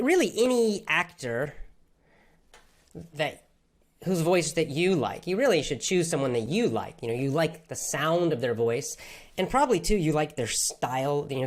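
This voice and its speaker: A man who teaches English, answering viewers' questions in an enthusiastic, motivational way. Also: on the high side. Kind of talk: live Q&A with viewers.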